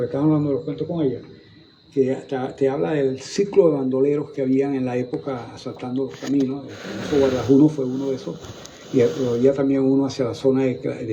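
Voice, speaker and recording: A man's voice: mid-range voice, warm tone, lo-fi sound, a little hiss or hum. An older man from Venezuela with a Venezuelan Spanish accent, recalling local history in a rambling way, with many restarts and repeated words. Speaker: guest historian. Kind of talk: conversational storytelling in a museum talk. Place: museum gallery, indoors.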